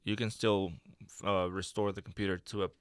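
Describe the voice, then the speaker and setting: A man's voice: deep voice, flat delivery, normal speed, smooth, no room sound, studio quality. A man joining a casual radio chat as a guest, giving brief, agreeable replies. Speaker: guest and class instructor.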